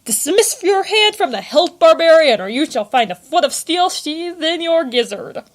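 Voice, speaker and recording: Woman doing a bossy soldier man, raised pitch, pompous old-timey threats, fantasy story. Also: very animated, moderate pace. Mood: threatening. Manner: archaic, imperious commands.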